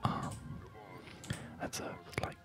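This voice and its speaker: A man speaking in a breathy ASMR whisper, explaining things casually in a halting, digressive way. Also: music in the background. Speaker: ASMR video host.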